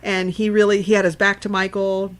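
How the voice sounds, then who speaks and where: A woman's voice: mid-range voice, little pitch movement, a little fast. A woman co-hosting a casual horror film discussion, speaking emphatically, repeating herself for emphasis and swearing freely. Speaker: podcast co-host.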